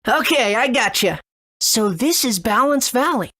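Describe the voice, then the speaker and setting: A woman's voice: on the high side, lively intonation, a little slow, sharp tone, exaggerated voice. A woman voicing an earnest, eager young boy hero in a video game. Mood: curious. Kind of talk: video game character acting and narration.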